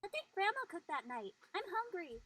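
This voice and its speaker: Very high, animated woman playing a youngster. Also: a little fast, no room sound, heavily acted. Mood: wistful. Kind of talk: dramatic dialogue in an audio play. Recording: clear background ambient noise.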